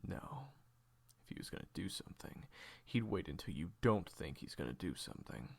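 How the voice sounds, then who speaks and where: Audio drama role: defiant, bitter assassin played by a man, low husky gravel, swinging from hushed to fierce. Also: sing-song, moderate pace, heavily acted. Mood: curt, contemptuous. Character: bitter, defiant male assassin, former protégé of villain. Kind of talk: audio roleplay acting.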